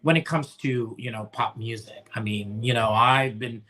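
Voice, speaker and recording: A man's voice: medium pitch, normal speed, sharp tone. A man in his forties from the Philippines, an opera singer chatting as a guest, rambling with lots of restarts and fillers. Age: 40s. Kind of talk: casual chat.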